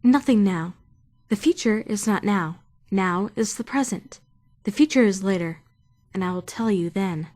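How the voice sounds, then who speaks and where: Actriz como joven formal y bastante pausada. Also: female voice, mid-range voice, a little mellow, natural voice. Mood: prim, deadpan. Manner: formal, bookish diction. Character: prim, socially awkward young female trainee, narrating while tipsy. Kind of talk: first-person visual novel fan dub.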